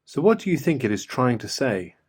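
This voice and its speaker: A man's voice: medium pitch, sing-song, fairly quick, slightly rough. A man voicing a wise, friendly talking cat in a children's storybook, kindly explaining.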